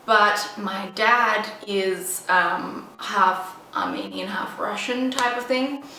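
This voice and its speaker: A woman's voice: mid-range voice, takes it slowly, pushed and bright, a little hiss or hum. A woman in her twenties from Estonia, chatting loosely in a Q&A vlog, full of ums and likes. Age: 20s. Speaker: vlogger. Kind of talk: casual Q&A to camera. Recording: echoey room, mic at a distance.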